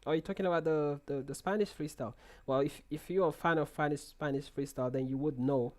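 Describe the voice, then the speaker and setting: A man's voice: a little high, fast talker, darkish tone. A young man hosting a live trading stream in casual chat, reading and answering viewer comments with many restarts and repeated words, speaking into a headset in a small room.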